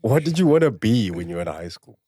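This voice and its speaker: A man's voice: fairly low pitch, big pitch swings, quick pace, dark tone, dry recording, clean studio sound. A man from South Africa hosting a podcast, asking questions in a playful, teasing way. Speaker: podcast host. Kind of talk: casual interview.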